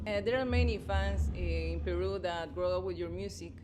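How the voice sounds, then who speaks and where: Woman interviewing over clear background noise. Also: on the low side, fairly even intonation, normal speed. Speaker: radio interviewer. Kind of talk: prepared interview questions.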